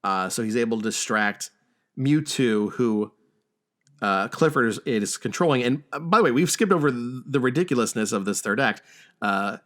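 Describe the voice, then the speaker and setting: A man hosting a podcast, talking casually through a film's plot with a halting, stammering delivery. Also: medium pitch, animated, a bit bright. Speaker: podcast host. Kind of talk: casual film discussion and plot recap.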